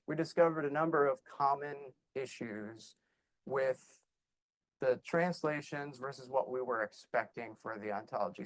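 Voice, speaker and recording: A man's voice: on the high side, rough recording. A man presenting slides at a conference talk, explaining his work in a loose, conversational way with many fillers and restarts. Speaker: conference speaker.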